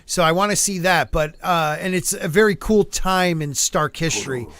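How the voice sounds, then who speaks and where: Streaming host, male, high voice, chatty, rambling, jokey. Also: normal speed, bright, forceful tone, no echo. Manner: rambling, joking, lots of fillers and restarts. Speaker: artist streaming live. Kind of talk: casual chat during a live stream.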